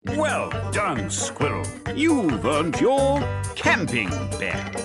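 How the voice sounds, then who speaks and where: A woman's voice: on the low side, wildly swooping pitch, drawn-out words. A woman narrating a children's game in an encouraging, praising, sing-song way. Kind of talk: scripted narration for young children. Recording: game audio over loud music.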